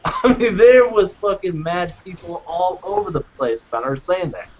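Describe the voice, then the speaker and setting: A man's voice: high voice, animated, normal speed, darkish tone. A man co-hosting a podcast in casual chat, talking in a loose, profane, rambling way with many restarts. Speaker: podcast co-host. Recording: dull lo-fi podcast audio.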